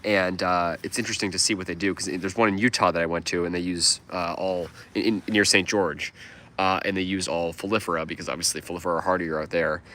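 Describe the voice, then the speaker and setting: A man's voice: low voice, fairly even intonation, quick pace, pushed and bright. A man with a stuffed-up cold voice, chatting casually on a livestream, rambling with lots of fillers and restarts. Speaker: livestream host.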